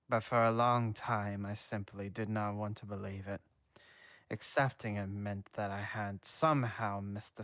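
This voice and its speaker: A man voicing a calm, formal adult male schoolteacher who speaks in a measured, matter-of-fact way. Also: lowish voice, no echo, clean studio sound.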